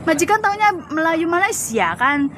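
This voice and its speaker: A high-voiced woman from Java with a Javanese lilt, chatting casually and telling lively stories. She often laughs and mimics other people's voices. The recording carries a lot of background noise. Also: moderate pace. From Java, Indonesia. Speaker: former migrant domestic worker sharing experiences. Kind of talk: casual chat. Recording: noisy background.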